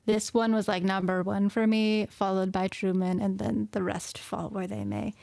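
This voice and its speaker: A woman's voice: medium pitch, level tone, moderate pace, vocal fry, a little mellow, no echo. A young woman co-hosting a casual music review chat, chatty and giggly, often chiming in with agreement.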